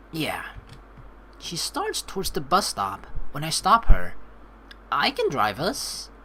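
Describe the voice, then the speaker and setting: A woman's voice: lowish voice, a little hiss or hum. A woman hosting a let's play, reading game text aloud and adding chatty, informal commentary. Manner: animated, informal, chatty asides.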